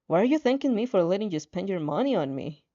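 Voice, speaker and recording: A woman's voice: medium pitch, very animated, fast, warm tone, highs cut off, lightly acted. Woman putting on an aloof, curt teenage boy. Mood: cold.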